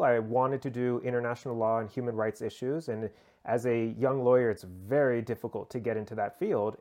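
A man from the United States answers an interview over a remote call, speaking at a mid pitch. His delivery is loose and conversational, full of hesitations and small restarts as he thinks aloud. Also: a little mellow. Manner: conversational, many uh fillers and restarts. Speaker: guest, human rights lawyer.

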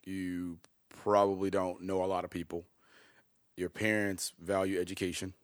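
A man in his thirties hosting a conversational interview, asking questions and reflecting in a relaxed way, often tagging "right?". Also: sits low, normal speed, no echo, studio quality. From United States. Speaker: interview host.

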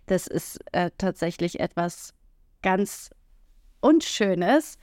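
A woman's voice: on the low side, lively intonation, slow pace, a little mellow, dry recording, studio quality. A woman co-hosting a casual talk podcast who speaks frankly and earthily, with plenty of filler sounds. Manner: frank and earthy, many "ähm" fillers, acts out quoted lines. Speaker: podcast co-host.

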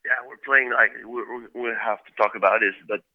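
A man's voice: mid-range voice, fairly even intonation, a little fast, no echo, phone-quality sound. A man from Quebec with a French Canadian accent, a band member talking loosely in a casual interview, full of fillers and restarts.

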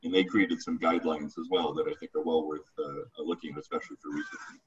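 A man's voice: rumbling low, flat delivery, fast talker. A man on a webinar panel, eagerly adding explanatory points. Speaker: panel expert. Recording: distant, dull, husky call audio.

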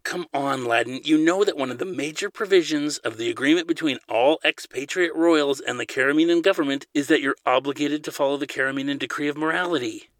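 A young woman from an audiobook, coaxing and caring, played by a man. She speaks in a raised pitch with a playful but insistent manner. The recording is very dry. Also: brisk, hardly any pauses, no echo, natural voice. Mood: pressing. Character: playful, caring, firm young woman, prince's trusted friend. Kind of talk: audiobook character dialogue.

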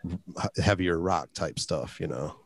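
A man's voice: sits low, measured pace, slightly rough. A man in his forties chatting casually, tentative and often trailing off mid-thought. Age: 40s. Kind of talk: casual chat.

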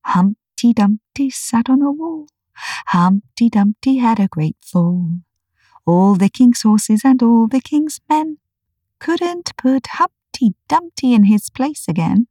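A man voicing a polite, gentle, curious young girl in a storybook, earnest and questioning. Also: high-pitched, very animated, normal speed, few pauses, dry recording, clean studio sound, recorded from a distance, pitched above the performer's own voice. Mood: reciting. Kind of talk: storybook character reading.

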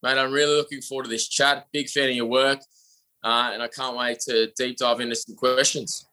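Young aussie guy, upbeat guest, bright sharp tone, lots of ums. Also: medium pitch, fairly quick, poor audio. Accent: Australian. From Australia. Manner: upbeat, energetic storyteller with frequent fillers. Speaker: podcast guest. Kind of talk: casual interview talk.

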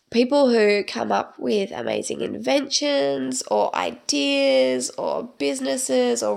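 A young woman hosting her own podcast, chatting in a rambling way with many ums, her voice croaky from the tail end of a cold. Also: on the high side, darkish tone. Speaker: podcast host. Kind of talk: casual solo podcast monologue.

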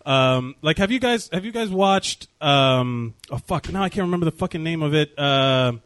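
A man with a high-pitched voice co-hosting a loose podcast. He rambles, swears freely and stumbles through restarts. The sound is close and dry, with no room around it. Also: lively intonation, normal speed, a bit bright, clear, resonant tone, dry recording. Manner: rambling, profane, lots of fillers and restarts. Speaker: podcast co-host. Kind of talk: casual podcast banter.

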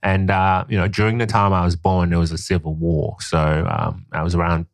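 A man's voice: low-pitched, little pitch movement, brisk, dry recording, clean studio sound. A young man from Liberia, raised in Guinea, telling stories in a rambling, casual podcast chat with lots of fillers. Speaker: podcast guest.

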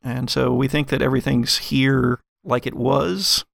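A man voicing a chatty, excitable helper who rambles in a folksy way in a tabletop audio drama. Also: medium pitch, unhurried, creaky, dry recording, studio quality, full character voice. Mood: chatty, flustered.

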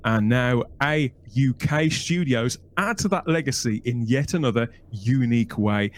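A man's voice: mid-range voice, lively intonation, a little slow, a little hiss or hum. A man with a Birmingham accent hosting a podcast, reading a playful, chatty intro and poking fun at himself. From England. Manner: playful, chatty, self-mocking. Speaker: podcast host.

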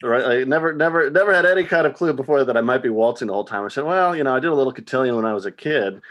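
A man, a cast member, telling a story in an animated way. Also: mid-range voice, very fast.

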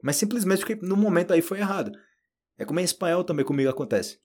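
A Brazilian man with a Brazilian Portuguese accent, giving a relaxed, chatty podcast lesson and addressing learners directly. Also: high voice, fast. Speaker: Portuguese teacher. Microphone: solo audio recording.

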